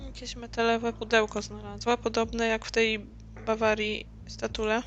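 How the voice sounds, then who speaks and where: A woman's voice: fairly high pitch, monotone, moderate pace, a bit bright, muffled recording, a little hiss or hum. A woman doing casual let's-play commentary, muttering to herself as she plays. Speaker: game streamer.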